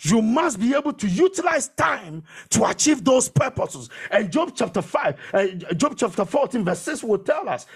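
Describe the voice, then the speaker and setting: A man's voice: very high-pitched, very animated, normal speed, few pauses, husky. A man preaching a sermon as a preacher, emphatic and exhortatory, repeating phrases to drive them home.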